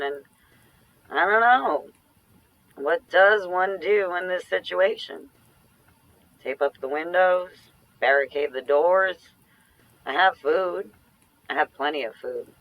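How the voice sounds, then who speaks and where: A woman's voice: low voice, fairly bright tone, no echo, rough recording. A woman acting an anxious, sardonic ex-girlfriend in a naturalistic audio drama, speaking in a dry, opinionated, conversational way. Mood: uneasy, wry. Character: anxious, sardonic ex-girlfriend living through a zombie outbreak.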